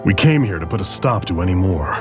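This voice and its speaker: A man voicing a grave, stern male mentor in a video game, measured and lecturing. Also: low-pitched, animated. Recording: game audio over loud music.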